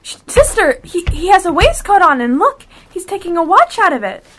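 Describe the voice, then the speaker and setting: A woman's voice: extremely high pitch, big pitch swings, moderate pace, a bit bright, full character voice. A young female amateur playing a curious, impulsive little girl in a radio play. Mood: bored, then amazed.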